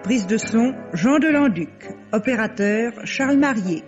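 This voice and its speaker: A woman announcing radio drama credits in 1950s French radio style, formal and deliberate. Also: on the high side, expressive. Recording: dull vintage radio recording. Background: music.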